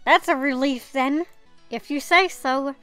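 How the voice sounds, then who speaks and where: Female gamer, high bright voice, informal and reactive, reading game dialogue over faint music. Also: a little slow. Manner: reactive, informal, mild swearing. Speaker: gamer reading a visual novel aloud. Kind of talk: casual playthrough with read-aloud dialogue and reactions.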